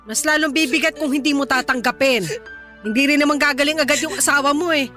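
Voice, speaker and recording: A woman's voice: high voice, quick pace, over music, lightly acted. A woman playing a blunt, reproachful Filipino mother of a grown daughter in a radio drama. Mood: blunt, dismissive.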